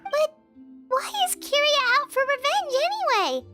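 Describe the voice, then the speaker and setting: A woman voicing a tiny, naive childlike companion creature in a video game, squeaky and eager. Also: very animated, a little slow, over music, heavily acted. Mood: eager, puzzled. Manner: eager, questioning. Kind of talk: cartoonish video game voice acting.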